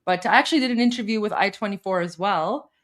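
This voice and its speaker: A woman co-hosting a live stream in casual chat, chatty and upbeat, her voice going hoarse. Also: medium pitch, quick pace. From Iran. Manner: chatty and upbeat, addresses viewers directly.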